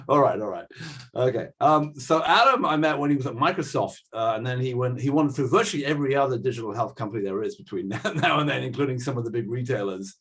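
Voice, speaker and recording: A man hosting a panel, his voice husky and his delivery quick. He keeps things loose with chuckles and quick asides. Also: mid-range voice, expressive, a bit bright. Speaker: host.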